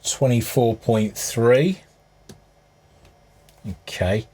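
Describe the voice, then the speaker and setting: A man with a husky voice giving casual, unscripted hands-on repair commentary, musing aloud as he works. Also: medium pitch, extremely slow, warm tone. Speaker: hobbyist restorer presenting his repair.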